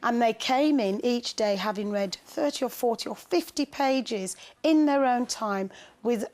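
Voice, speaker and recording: A woman's voice: fairly high pitch, measured pace, darkish tone. A woman, a teacher, recounting experiences in an interview in an articulate, enthusiastic way. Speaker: special school teacher. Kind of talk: interview recollection.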